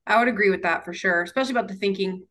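Young woman guest, bright and chatty, heartfelt and loose, lots of "um"s. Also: medium pitch, racing. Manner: chatty, emotional, with laughs and fillers. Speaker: podcast guest, twin. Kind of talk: casual chat. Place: San Diego.

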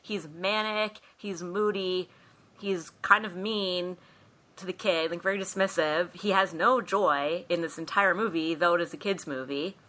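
A woman's voice: fairly low pitch, steady tone, measured pace, pushed and bright. A woman co-hosting a podcast, retelling a film plot in a casual, wry way with mimicked asides. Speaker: podcast co-host.

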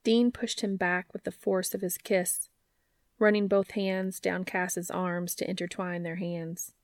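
A woman's voice: lowish voice, level tone, measured pace. A woman reading scripted fiction aloud as a story narrator.

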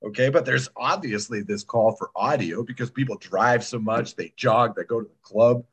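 A man's voice: mid-range voice, normal speed, a bit bright, slightly rough. A man chatting as a podcast guest, opinionated and emphatic, repeating himself and asking rhetorical questions. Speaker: podcast guest, audio drama producer. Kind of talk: casual, opinionated chat. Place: remote call on a computer.

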